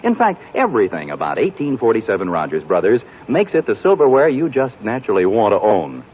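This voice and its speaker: A man announcing on a 1940s radio show and reading its commercial in a smooth, salesy, enthusiastic way. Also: fairly low pitch, big pitch swings, fairly quick, slight background noise. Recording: dull lo-fi 1940s radio broadcast.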